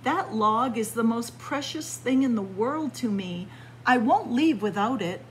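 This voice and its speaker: A woman's voice: a little high, expressive, a little slow. A woman voicing a kind, earnest little wooden robot from a storybook, speaking politely and emphatically. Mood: determined. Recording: clear background room noise.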